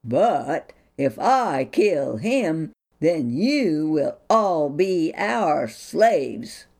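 A menacing giant warrior hurling threats across a battlefield, as a woman storyteller puts him on. The taunts come slow and loud, with plenty of rise and fall. It sounds like a storybook reading. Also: deep voice, big pitch swings, full character voice. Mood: taunting.

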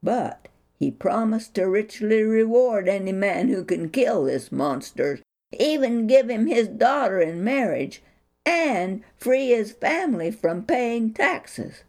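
A woman's voice: on the high side, animated, slow, darkish tone, voice pushed up. A woman voicing frightened soldiers in a storybook reading, explaining things to a newcomer with urgency. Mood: urgent. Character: frightened male soldiers in a trench.

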